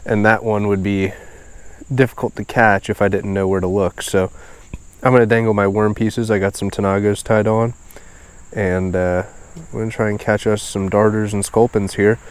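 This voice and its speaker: Low-pitched, congested man vlogging outdoors. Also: flat delivery, normal speed, clear, resonant tone. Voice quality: nasal, stuffed-up. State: congested and sniffly. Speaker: host of a fishing video series. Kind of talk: casual outdoor vlog narration. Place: outdoors beside a small creek.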